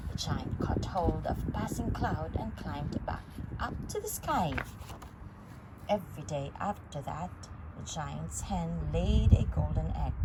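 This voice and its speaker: Slow, very expressive woman storyteller. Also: fairly low pitch, very noisy. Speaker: storytime reader. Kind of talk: read-aloud children's story narration.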